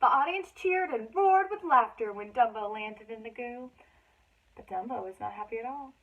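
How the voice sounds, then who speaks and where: A woman reads a picture book aloud for children, putting dramatic emphasis into the narration. Her voice sits fairly high. The recording is thin and band-limited, like a phone. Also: animated, moderate pace, narrow, tinny audio. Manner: dramatic emphasis for young listeners. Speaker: children's storybook reader. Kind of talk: expressive read-aloud narration with chatty asides.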